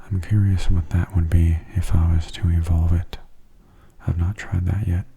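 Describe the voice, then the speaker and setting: A man speaks very softly in a low, creaky register, keeping his tone quite level. He murmurs gentle, unhurried game commentary in ASMR style, often dropping into a whisper. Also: flat delivery, slight background noise. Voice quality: hushed, soft voice slipping into whispers. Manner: gentle, unhurried, casual musing. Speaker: gameplay video host.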